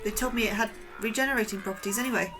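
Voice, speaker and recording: A woman's voice: fairly high pitch, rapid-fire, noisy background, lightly acted. A woman playing an anxious, questioning astrobiologist in a sci-fi horror audio drama. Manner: earnest and questioning, a little anxious.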